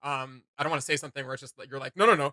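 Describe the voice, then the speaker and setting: Bright, forceful-voiced man chatting as co-host. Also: medium pitch, very fast, dry recording, clean studio sound. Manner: animated, rambling, playfully emphatic. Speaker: co-host of a reaction video channel. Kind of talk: casual chatty reaction commentary.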